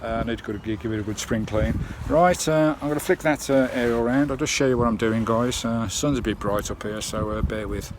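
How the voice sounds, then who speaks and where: A man's voice: medium pitch, brisk, hardly any pauses, a little hiss or hum. A man with an English accent talking casually and matily to viewers, with colloquial turns of phrase, as he shows hands-on work on his campervan. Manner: chatty, colloquial, matey address to viewers. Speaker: vlogger showing a campervan radio installation. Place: in and around a parked campervan. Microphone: handheld camera microphone.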